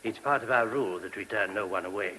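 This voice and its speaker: Courteous monk on hissy old radio. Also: male voice, on the low side, fast, sharp tone, low-quality recording. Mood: earnest, explanatory. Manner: courteous, hesitant, explanatory. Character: gentle, earnest monk, a courteous abbey priest. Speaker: actor in radio play. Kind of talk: radio drama acting.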